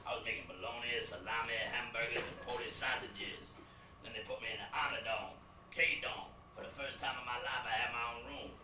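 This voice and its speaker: A man's voice: medium pitch, steady tone, a little fast, roomy sound, recorded from a distance, background noise, close to an everyday voice. A man playing a swaggering, profane prison mentor. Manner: slangy, profane, swaggering street talk. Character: streetwise male gang inmate mentor, swaggering.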